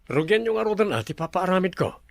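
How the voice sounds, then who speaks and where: High-voiced, impatient bossy resort owner. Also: male voice, animated, quick pace, dry recording. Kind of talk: Ilocano radio drama acting.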